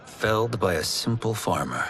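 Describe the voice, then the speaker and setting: An actor playing a humble young farmer turned fighter in a video game, speaking politely and earnestly. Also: male voice, fairly low pitch, lively intonation, moderate pace, fairly bright tone, soft background music. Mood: modest, determined. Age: young adult. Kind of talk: dramatic video game acting.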